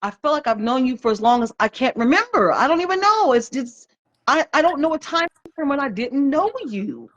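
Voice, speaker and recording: A woman's voice: sits high, big pitch swings, fairly quick. Heartfelt woman in her fifties, lo-fi livestream. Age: 50s. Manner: heartfelt, reflective, greets viewers by name. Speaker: live chat host. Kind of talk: casual live stream chat. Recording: dull lo-fi livestream audio.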